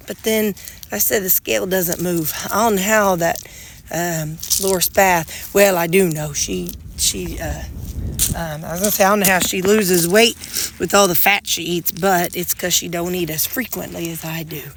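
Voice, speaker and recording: A middle-aged woman with a drawl talks in a loose, rambling way, full of restarts and asides. Her voice is a bit nasal with a gravelly edge. She is recorded on a handheld phone outdoors, with a faint hiss of noise underneath. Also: on the low side, moderate pace. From Missouri, United States. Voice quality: slightly nasal. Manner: rambling, chatty, folksy with frequent restarts; pulling weeds while talking. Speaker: vlogger. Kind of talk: casual chat to camera. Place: outdoors beside a gravel bed and sidewalk. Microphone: phone held in hand.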